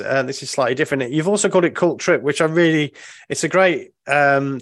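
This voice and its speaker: A man hosting a podcast interview, speaking haltingly with many restarts and encouraging his guest. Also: medium pitch. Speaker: podcast host.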